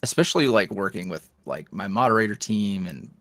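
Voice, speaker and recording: A man's voice: on the low side, lively intonation, a little fast, fairly bright tone, no room sound, studio quality. A man chatting casually as a podcast guest, in a folksy, self-deprecating and jokey manner. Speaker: interview guest, online audio roleplay creator.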